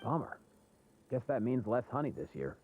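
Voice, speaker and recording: A man's voice: mid-range voice, big pitch swings, moderate pace, darkish tone, muffled recording, close to an everyday voice. An actor playing an easygoing, teasing, practical male friend in a video game, casual and wry. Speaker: game character. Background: music.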